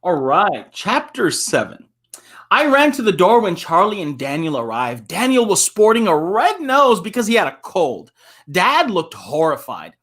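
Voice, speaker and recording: A man's voice: high voice, huge pitch swings, a little slow, fairly bright tone, slightly rough. A man reading a children's book aloud as a storyteller, playful and given to chuckling asides.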